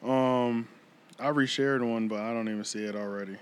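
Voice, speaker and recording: A man's voice: mid-range voice, fairly even intonation, normal speed, a little hiss or hum. A man hosting a podcast, chatting casually with loose slangy phrasing and closing with a plug to subscribe. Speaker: podcast host.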